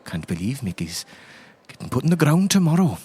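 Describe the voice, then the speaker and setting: A man's voice: mid-range voice, very animated, brisk, darkish tone, husky. A man playing a chatty, tipsy priest who loves tall tales, with an Irish accent and a rambling, lilting delivery, in an improvised tabletop game. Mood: reminiscing, wistful. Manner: rambling, lilting storyteller with repeated tag phrases.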